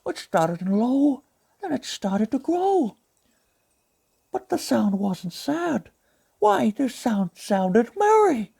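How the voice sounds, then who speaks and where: A man voicing a gentle, childlike, honey-loving cartoon bear who reads a storybook in a husky, polite, fretting manner. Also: extremely high pitch, sing-song, slow pace, pitched above the performer's own voice, exaggerated voice. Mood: gentle wonder. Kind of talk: storybook reading as cartoon impression.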